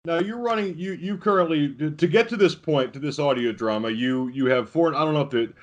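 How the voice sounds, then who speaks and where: A man hosting a casual podcast interview, wording his questions in an informal, halting way. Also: on the high side. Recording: dull, lo-fi sound.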